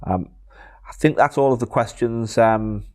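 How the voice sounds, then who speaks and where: A middle-aged man from the northeast of England with a Geordie accent, answering viewer questions in a relaxed, rambling way full of ums. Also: medium pitch. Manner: unhurried, frequent ums, digressive. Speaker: photography YouTuber. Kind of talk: casual Q&A talk to camera.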